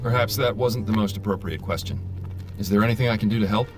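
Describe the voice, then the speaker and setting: A man voicing a courteous, persistent detective who asks measured but probing questions in a video game. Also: lowish voice, lightly acted. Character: polite, persistent male detective. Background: game music.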